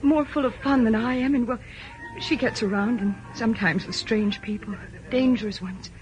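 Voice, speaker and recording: An actress playing an anxious, polite young woman who speaks haltingly and earnestly, in 1940s radio drama style on an old recording. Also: on the high side, lo-fi sound, faint music behind, lightly acted. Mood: worried, earnest. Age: young adult.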